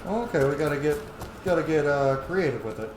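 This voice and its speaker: A man chats casually over his gameplay in a fairly high, animated voice. He reacts excitably and swears freely. The room is echoey, with clear noise behind him. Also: a little fast. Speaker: let's play host, gamer. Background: game sounds.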